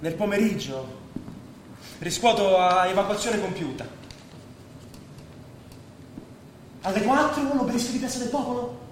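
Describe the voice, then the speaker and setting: An actor playing a bossy, scheming leader of evicted refugees, commanding and urgent in a stage drama. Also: male voice, high voice, expressive, a little fast. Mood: conspiratorial. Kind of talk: staged radio drama acting. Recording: echoey stage from far off, noisy.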